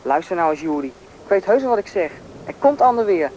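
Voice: medium pitch, very animated, fairly quick, a little mellow, close to an everyday voice. A performer playing a bossy, knowing country boy, confident and impatient, in a radio drama. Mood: urgent. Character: bossy, knowing boy who grew up in the taiga. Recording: noisy old radio play recording.

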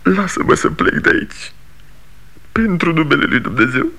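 An anguished grown son. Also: male voice, on the high side, lively intonation, vocal fry, exaggerated voice. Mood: pleading. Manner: impassioned, pleading, drops to hushed confession. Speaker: actor in radio play. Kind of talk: 1960 radio theatre acting.

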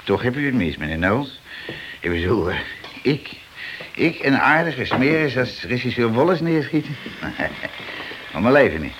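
An actor playing a cheeky, evasive small-time crook in a radio drama, mock-polite and streetwise. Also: male voice, mid-range voice, sing-song, measured pace, long pauses. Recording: dull, noisy old radio play recording.

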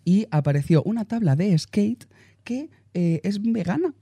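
A man's voice: high-pitched, expressive, a little slow, creaky, dark tone, slightly rough, no room sound. A man with a Spain Spanish accent co-hosting a casual podcast, a bit under the weather with a cold, joking and enthusiastic. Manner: jokey, enthusiastic. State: slightly ill, hoarse with a cold. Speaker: podcast co-host.

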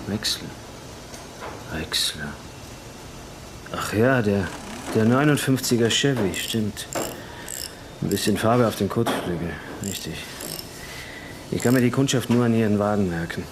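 A low-pitched, offhand garage worker acted by a man in a radio crime drama. He talks casually with a callous, guarded edge. Clear workshop noise sits behind him. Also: a little slow, pauses often, natural voice. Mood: offhand, wary. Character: casual, bigoted male auto body painter.

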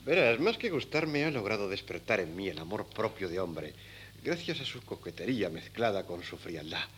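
An actor playing an eager, bossy, vain young son in a 1970s Spanish radio play, theatrical and excitable, with a Castilian accent. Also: male voice, on the low side, normal speed. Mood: earnest, flustered. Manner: theatrical, excitable, stammers when flustered. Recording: hissy old cassette radio recording.

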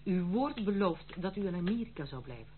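A woman's voice: fairly low pitch, sing-song, a little fast. Firm, stern young noblewoman. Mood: cold, reproachful. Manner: formal, firm, reproachful. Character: strong-willed, stern young noblewoman protecting her household. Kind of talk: radio play acting. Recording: tinny, phone-like old radio play, slight hum.

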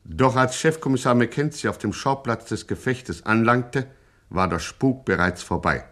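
A man's voice: medium pitch, expressive, normal speed, fairly bright tone, natural voice. A man narrating a satirical gangster radio play in a wry, scripted storyteller's tone.

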